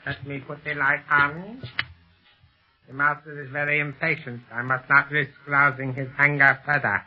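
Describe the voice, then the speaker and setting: A man's voice: on the high side, level tone, normal speed, close to an everyday voice. An actor playing a master criminal's fearful henchman in a 1930s radio serial, obsequious and anxious, with formal phrasing. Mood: anxious muttering. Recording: dull vintage 1930s radio recording.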